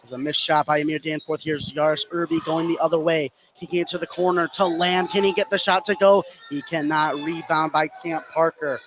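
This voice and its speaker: Higher-voiced man calling basketball over noise. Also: steady tone, brisk, hardly any pauses. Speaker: play-by-play sports commentator. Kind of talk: live basketball play-by-play commentary. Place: indoor basketball gym at a tournament. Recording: noisy gym behind live broadcast.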